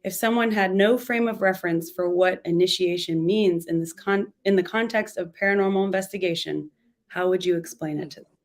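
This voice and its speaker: A woman from Quebec with a raspy voice, co-hosting in casual chat and reading listener questions aloud. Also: lowish voice, fairly quick. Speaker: co-host and podcaster.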